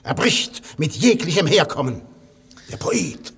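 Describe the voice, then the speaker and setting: An actor playing a passionate anarchist poet in a 1950s radio play, scornful and at times hushed and menacing. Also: male voice, fairly high pitch, sing-song, unhurried, gravelly, breathy edge, in a large hall, full character voice. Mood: intense. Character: fiery male anarchist poet, intense and scornful.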